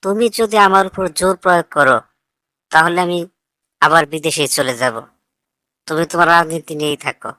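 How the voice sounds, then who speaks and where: Lowish-voiced, defiant young idealist played by a woman. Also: fairly quick, long pauses, bright, forceful tone, no echo. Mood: firm. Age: young adult. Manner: argumentative, sarcastic, lecturing. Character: defiant, idealistic grown child of a politician, foreign-educated. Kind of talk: scripted cartoon dialogue.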